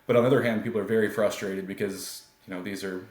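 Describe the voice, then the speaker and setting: A man's voice: on the low side, steady tone, quick pace, a little mellow. An American man giving informal, explanatory answers as an attorney being interviewed. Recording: roomy, echoey sound.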